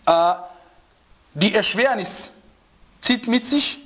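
Male religious teacher, high voice, emphatic, repeats points and quizzes his class. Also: animated, slow pace, a bit bright. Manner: emphatic, repeats key phrases, asks rhetorical questions; searches for German words and asks students. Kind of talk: religious lesson to students.

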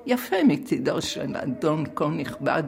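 Older lady professor reading literature, super quick, croaky low-end fry. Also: sing-song, a little mellow, soft background music. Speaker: translator, retired professor.

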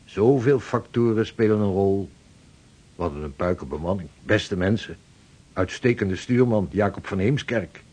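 An actor playing a devout, driven sixteenth-century Dutch ship's captain in a radio play. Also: male voice, on the low side, normal speed, a little mellow, husky. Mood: earnest, evasive. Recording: noisy old radio play recording.

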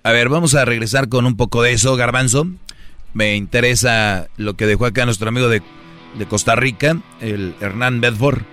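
A male radio host, talking bluntly and with jokes. His voice is bright and forceful. Soft music plays underneath. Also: medium pitch, fairly even intonation, moderate pace. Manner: blunt, joking, speaks to listeners as brody. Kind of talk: casual radio call-in talk.

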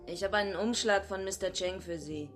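A woman's voice: mid-range voice, a little fast, a bit bright, soft background music, natural voice. An actress playing a tough, streetwise young woman, narrating a radio drama in a wry, matter-of-fact way. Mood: casual, deferential.

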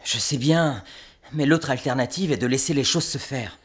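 Husky, determined young apprentice played by a man. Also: medium pitch, normal speed, natural voice. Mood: defiant. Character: Chris, stubborn, determined young apprentice fighter. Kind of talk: audio drama acting.

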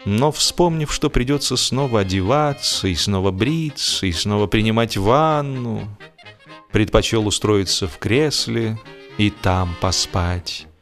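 A man narrating a humorous story as a scripted reading, theatrical in delivery, with drawn-out words and emphatic swings. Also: fairly low pitch, fairly bright tone. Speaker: audiobook narrator. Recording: music behind the voice. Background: music.

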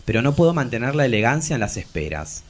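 An actor playing a bawdy, melancholic travesti poet in their forties in a theatrical, confessional radio drama. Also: male voice, mid-range voice, sing-song, quick pace. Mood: weary, bitter. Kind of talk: radio drama dialogue and monologue.

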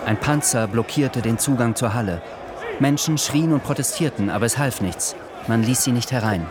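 A man reading literary radio drama narration in a measured, calm way. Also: mid-range voice, over a noisy crowd, very noisy.

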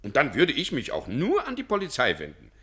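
An actor playing a condescending, officious government director in a radio play, mocking and dismissive. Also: male voice, mid-range voice, huge pitch swings, brisk, sharp tone, slightly rough, exaggerated voice.

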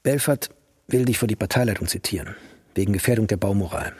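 An actor playing a burdened, pleading party secretary in a restrained German radio drama, with a husky voice. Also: male voice, on the low side, fast, no room sound, clean studio sound. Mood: troubled, pleading.

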